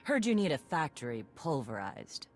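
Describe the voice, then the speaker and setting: A woman voicing a blunt, battle-hardened warlord who talks in short, gruff bursts in a game cutscene. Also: sits low, big pitch swings, normal speed, soft background music. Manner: clipped, gruff, terse.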